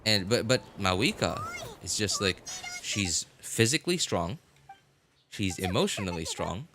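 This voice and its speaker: A man's voice: on the low side, lively intonation, unhurried, bright, forceful tone, slight background noise. A man giving casual, rambling livestream commentary, hesitantly thinking aloud and often rephrasing himself as he goes. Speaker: game livestreamer.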